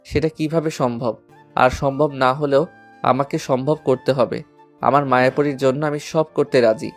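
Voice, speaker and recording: A man's voice: medium pitch, steady tone, moderate pace, natural voice. A man plays a devoted schoolboy in an audio love story. He is earnest and sentimental, with little rise and fall in his voice. Faint music plays behind him. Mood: yearning, determined. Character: lovesick, devoted schoolboy, respectful to elders. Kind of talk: Bengali audio story acting.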